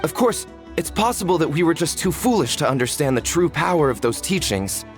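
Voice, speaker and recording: A man's voice: fairly high pitch, sing-song, brisk. Nervous, deferential villager man. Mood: humble, explanatory. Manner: apologetic, respectful. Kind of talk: English video game voice acting. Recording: faint background music under the voice.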